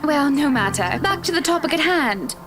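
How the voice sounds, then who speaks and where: An actress voicing a wealthy, self-assured socialite wife in a visual novel game, brisk, commanding and breezily condescending. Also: female voice, sits high, animated. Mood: haughty, brisk. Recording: music behind the voice.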